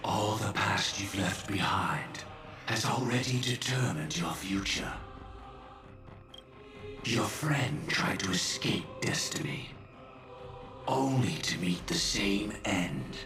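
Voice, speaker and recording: A grave male game villain, passes judgment in a low, slow voice. His words are formal and fatalistic, delivered with solemn courtesy. He sounds distant in an echoing space, with music underneath. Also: animated. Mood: cold, fatalistic. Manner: solemn, formal, measured pronouncements. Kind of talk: dramatic video game voice acting.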